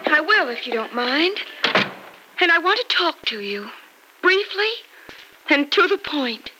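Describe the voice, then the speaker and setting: A woman's voice: sits high, very animated, measured pace, noisy background. An actress playing a stern, wounded young woman in an old radio soap opera, melodramatic and self-pitying. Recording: old radio drama recording.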